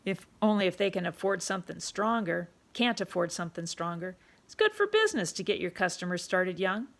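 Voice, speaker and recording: A woman's voice: lowish voice, expressive, brisk. A woman doing a brusque, coarse pub owner. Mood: gruff, bossy. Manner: rough, ungrammatical talk, bossy.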